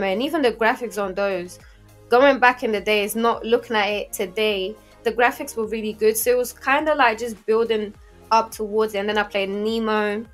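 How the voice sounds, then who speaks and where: A woman in her twenties from Portugal chats casually as a podcast guest, telling things in slangy English. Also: a little high. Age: 20s. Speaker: podcast guest and game streamer. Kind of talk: casual interview chat. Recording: music playing behind the talk.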